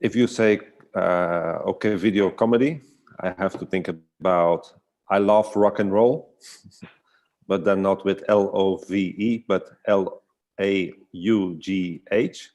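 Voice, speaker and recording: Very deep-voiced man, thoughtful and hesitant. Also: steady tone, takes it slowly, darkish tone. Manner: hesitant, searching for words. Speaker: guest artist and storyteller. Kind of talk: casual reflective online conversation.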